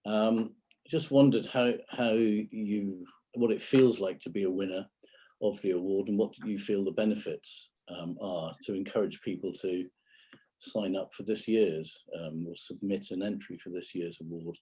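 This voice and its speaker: A man's voice: low voice, steady tone, measured pace, warm tone, slightly rough, poor audio. A man hosting a remote interview, asking his guest questions in a polite, encouraging way. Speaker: interviewer, host.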